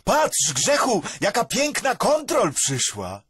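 A man's voice: high-pitched, huge pitch swings, slow pace, a bit bright, breathy edge, no room sound, clean studio sound, full character voice. A young man playing a chubby, naive, simple boy in a cartoon sketch. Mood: eager. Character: Pucuł, chubby slow boy who speaks incorrectly.

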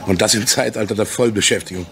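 A middle-aged salesman in a radio crime drama. His voice sits fairly low, and he talks in a forward, jovial, slightly crude way, like an old soldier sure of himself. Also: fast talker, a bit bright. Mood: affable. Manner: chatty, forward, crude-tongued. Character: brash, jovial middle-aged canned-meat salesman and war veteran.

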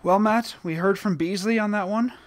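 Higher-voiced podcast host man. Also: moderate pace, dry recording, studio quality. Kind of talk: casual chat.

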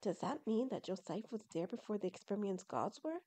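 A woman's voice: medium pitch, expressive, fairly quick, mellow, lightly acted. A woman voicing a devoted, fussy young male fox creature in an audio story, earnest and full of questions. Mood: puzzled.

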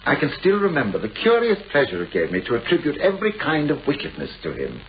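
An actor playing an anxious, self-absorbed writer in a radio play, with a British accent and a formal, wordy, hesitant manner. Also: male voice, mid-range voice, huge pitch swings, fast talker. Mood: confiding, troubled. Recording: dull, hissy old radio recording.

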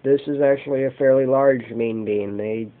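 A man giving an unscripted show-and-tell as an amateur vlogger, talking in halting, short phrases on a camera microphone indoors. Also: medium pitch, steady tone. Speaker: amateur fishing vlogger showing lures. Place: indoors, carpeted room at home. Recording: dull, muffled lo-fi home video.

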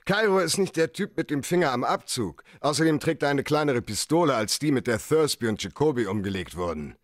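An actor playing a cool, blunt, hard-boiled private detective who bargains sardonically in a German-style radio crime play. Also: male voice, mid-range voice, expressive, brisk, no room sound, clean studio sound, lightly acted. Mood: firm, provoking. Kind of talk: radio crime drama acting.

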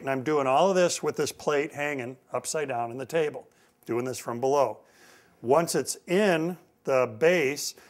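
Middle-aged American man teaching woodworking. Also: mid-range voice. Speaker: woodworking instructor. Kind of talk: instructional demonstration to camera. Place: indoor woodworking shop. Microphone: lapel mic.

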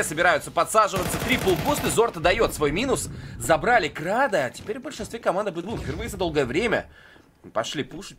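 A male esports caster with a mid-pitched voice gives live play-by-play of a match. He talks conversationally, as if thinking aloud, with some faint game noise and clicking behind him. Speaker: esports commentator.

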